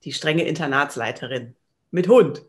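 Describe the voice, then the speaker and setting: A woman's voice: deep voice, normal speed. A woman in her thirties speaking casually as an interview guest on a video call. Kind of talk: casual chat. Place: indoor room, video call.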